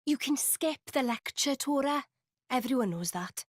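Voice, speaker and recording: Impatient young game hero boy: high, very lively, blunt British slang, dry sound. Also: moderate pace, dry recording. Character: impatient, earnest, plucky teenage boy. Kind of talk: voiced game cutscene acting.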